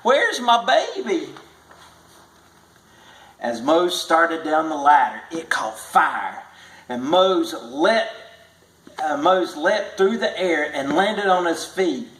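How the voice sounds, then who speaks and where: Slow-paced male storybook reader in echoey room. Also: a little high, expressive, fairly bright tone. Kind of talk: read-aloud tall tale narration.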